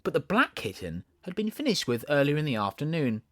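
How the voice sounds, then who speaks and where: A man with a Southern accent reading a storybook as an audiobook narrator, in an expressive, theatrical way. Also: on the high side, fairly quick, dry recording, studio quality.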